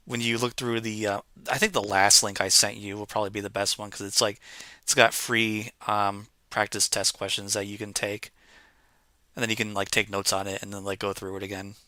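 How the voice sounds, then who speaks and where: A man's voice: on the low side, bright, forceful tone, no echo. A man hosting a casual online chat, talking loosely with lots of filler words. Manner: rambling, filler-heavy with many "like"s. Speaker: podcast host.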